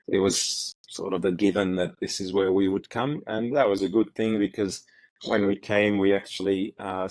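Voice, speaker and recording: A middle-aged man from Ethiopia, telling his story as an interview guest in a halting way, with many fillers and repeated words. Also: low voice, a little mellow, no room sound, rough recording. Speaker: interview guest, retired prosecutor. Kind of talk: relaxed reflective storytelling.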